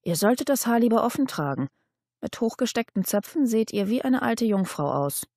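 A woman voicing a feisty, sharp-tongued young woman with a blunt, scornful manner in an audiobook. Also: a little high, very animated, moderate pace, dry recording, studio quality, pitched above the performer's own voice. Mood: critical.

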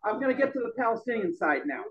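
A middle-aged man giving a loud, emphatic speech at an open-mic forum in a bar room. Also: extremely high pitch, sing-song, very fast, dull audio, a crowd in the background. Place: bar room with a small audience. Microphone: stand mic.

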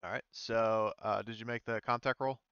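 Smooth-voiced man running a tabletop game. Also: fairly low pitch, fairly even intonation, normal speed, fairly bright tone, no echo, highs cut off. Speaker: game master. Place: indoors on an online voice call.

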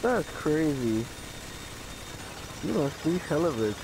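A man's voice: a little high, sing-song, slow pace, noisy background. Bossy, joking young gamer guy. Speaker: gamer in voice chat. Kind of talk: casual gaming banter.

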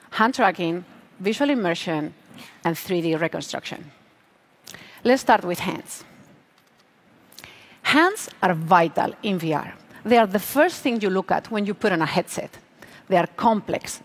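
Deliberate woman presenting a tech keynote. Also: mid-range voice. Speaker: product manager presenting. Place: large auditorium stage.

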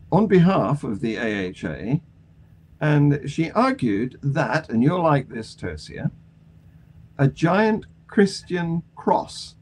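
A man hosting a news discussion on a video call, with a halting delivery. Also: a little high, darkish tone, slightly rough. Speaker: panel show host. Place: indoor home room on a video call.

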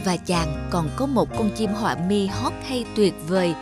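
A woman's voice: medium pitch, animated, moderate pace. A woman narrating a scripted fairy tale for children as a storyteller. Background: music.

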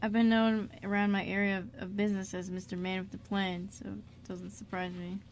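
Woman as bashful easygoing man, halting, soft-hearted, radio play, mid pitch, faint noise. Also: little pitch movement, natural voice. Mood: amused. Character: Quinn, easygoing, bashful man with a shady past. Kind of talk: amateur radio drama acting. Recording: noticeable background noise.

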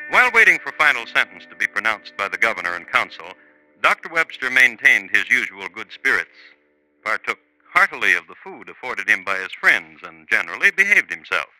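A man playing a wry, dryly ironic crime storyteller, deliberate and theatrical, narrating in 1950s radio style. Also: on the low side, sing-song, bright, forceful tone, no echo, faint music behind, heavily acted, low-quality recording. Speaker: host and narrator. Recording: vintage 1950s radio recording.

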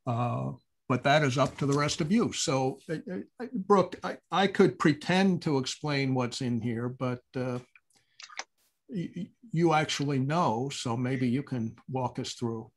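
A man leading a board committee item on a video call, speaking haltingly with many pauses. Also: medium pitch, a little hiss or hum. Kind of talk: meeting discussion.

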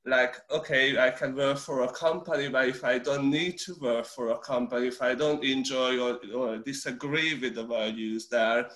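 A man in his forties with a Hungarian accent, speaking English as a guest on a video call. His speech comes in halting bursts, full of restarts and repeated words. The room sounds echoey. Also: mid-range voice, fairly even intonation, fairly bright tone. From Romania. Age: 40s. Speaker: interview guest. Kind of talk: casual video-call interview.

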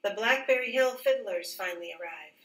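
Lady teacher doing a storybook read aloud, bright clear phrasing, echoey room, bit far from mic. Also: mid-range voice, lively intonation, a little fast, a little hiss or hum. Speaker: teacher reading a picture book aloud. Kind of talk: expressive children's read-aloud narration. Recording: roomy echo, distant microphone.

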